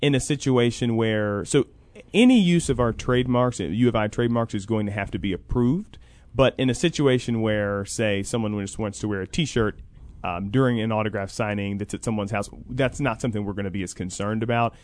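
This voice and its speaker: A man's voice: fairly low pitch, a little fast, darkish tone. A man speaking as an interview guest on a radio talk show, explaining things at length in careful, qualified points. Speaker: interview guest, college athletics program coordinator.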